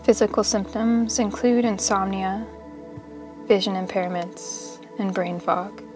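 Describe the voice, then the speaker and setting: A woman leading a guided meditation in a soothing, measured, unhurried manner, pausing between short phrases of instruction. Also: mid-range voice, level tone. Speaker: yoga nidra meditation guide. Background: meditation music.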